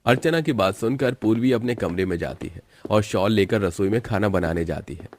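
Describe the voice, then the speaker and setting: Male narrator, low-pitched, delivering scripted storytelling for an animated family tale. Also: fairly quick.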